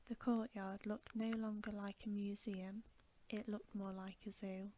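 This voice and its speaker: Female ASMR reader for bedtime stories: hushed, gentle whisper, very slow pacing, even intonation. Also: medium pitch. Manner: soft, gentle, hushed and unhurried.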